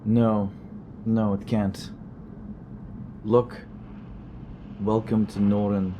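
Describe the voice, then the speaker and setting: Audio drama: actor as dependable male friend and driver, warm dark tone, light vehicle noise. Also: on the low side, level tone, drawn-out words. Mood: alarmed. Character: steady, reassuring male friend driving a car.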